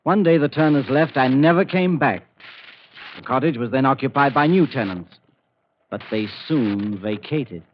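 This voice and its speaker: An actor playing an older, knowing doctor who relates a mystery in a deliberate, avuncular way, in 1940s radio acting style. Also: male voice, medium pitch, natural voice. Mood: patient, intriguing. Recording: dull, faintly noisy 1940s radio recording.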